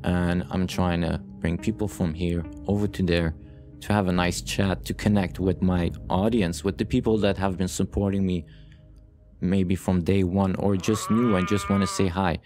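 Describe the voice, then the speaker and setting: A man with a low-pitched voice talks casually as host of a let's play. He rambles earnestly to his viewers and circles back to repeat phrases for emphasis. Guitar music plays clearly behind him. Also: fairly even intonation, moderate pace, darkish tone. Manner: earnest, addresses viewers directly. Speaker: gaming channel host.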